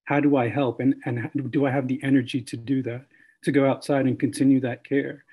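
A man's voice: medium pitch, flat delivery, brisk, warm tone, husky. A young man, a newly qualified nurse, speaking earnestly in a panel discussion on a video call. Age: young adult. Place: room at home. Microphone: computer mic on a video call.

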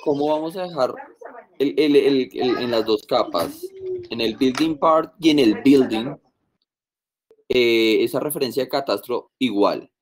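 A man taking part in a casual technical workshop, chiming in with short questions. He speaks at an ordinary pitch in an easygoing tone. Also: poor audio. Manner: asks quick follow-up questions. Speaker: workshop participant. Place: video call.

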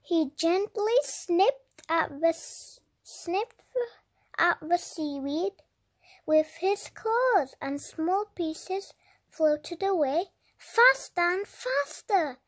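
A young-sounding reader telling a children's story aloud with deliberate, dramatic emphasis. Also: very high-pitched, lively intonation. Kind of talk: expressive read-aloud narration for young children.

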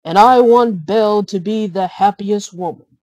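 A woman's voice: mid-range voice, unhurried, a little mellow, no echo, natural voice. A woman voicing a happy, devoted young prince in a storybook, earnest and emphatic. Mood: elated. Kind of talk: storybook character reading.

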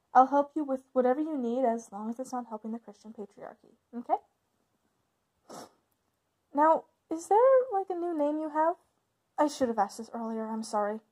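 A woman acts out a protective big sister from a witches' coven in an audio roleplay. Her voice sits high. She speaks informally and a little haltingly, always caring and reassuring toward her younger sibling. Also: darkish tone, poor audio. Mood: supportive. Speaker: voice actor in ASMR roleplay. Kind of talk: ASMR roleplay, conversational acting.

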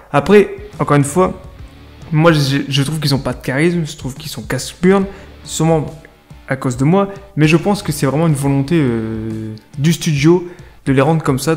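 A man's voice: a little high, darkish tone. A man, giving a casual, slangy video game review with conversational asides to viewers. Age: 30s. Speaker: gaming video creator and reviewer. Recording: music under the voice. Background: music.

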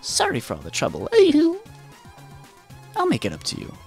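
A sweetly menacing spider girl, put on by a man, teasing in a lilting sing-song. Her pitch swoops all over. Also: wildly swooping pitch, normal speed, vocal fry, faint music behind, full character voice. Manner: playful, laughing, sing-song.

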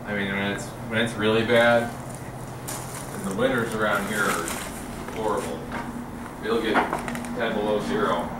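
A man's voice: fairly low pitch, fairly even intonation, moderate pace, pauses often. A man casually guiding a friend through a derelict building, warning about dangers in a matter-of-fact way. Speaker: local guide on a tour. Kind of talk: casual walking tour talk. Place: inside a ruined derelict building. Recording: echoey ruin, distant from the camera, loud ambient noise.

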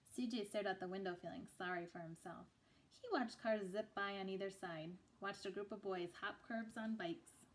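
Woman, thirties, middle-pitched, children's storytelling, reading aloud. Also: normal speed. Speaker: picture book reader. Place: small room indoors.

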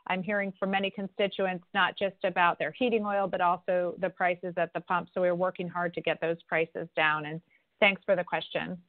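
A woman's voice: on the low side, little pitch movement, moderate pace. A congresswoman hosting a telephone town hall, answering questions in a conversational way. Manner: conversational, with frequent restarts and fillers. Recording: dry, tinny phone line.

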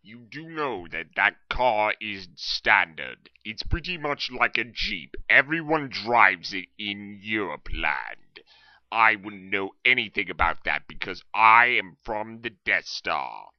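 A young man with a lowish voice delivers comic lines slowly and goofily, swinging his pitch about. The recording is dry, dull and lo-fi. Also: big pitch swings, no echo. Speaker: amateur voice actor. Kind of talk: hammy comic performance of script lines and ad-libs. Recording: dull, lo-fi close mic.

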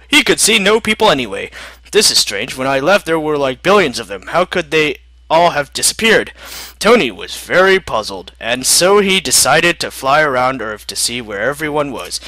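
A man reading a comic scripted story as narrator in an animated, dramatic way. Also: a little high, no room sound, poor audio.

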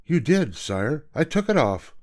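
A man's voice: on the high side, very animated, measured pace, a little mellow, pitched above the performer's own voice. A man voicing a meek, polite and bewildered young boy from a storybook, speaking in a timid, deferential manner. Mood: flustered, bewildered. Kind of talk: storybook character voice.

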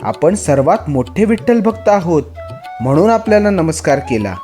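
A man's voice: fairly high pitch, sing-song, moderate pace, warm tone, soft background music. A man playing a proud, easily offended saintly devotee with a self-important, dramatic manner in a children's story. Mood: smug.

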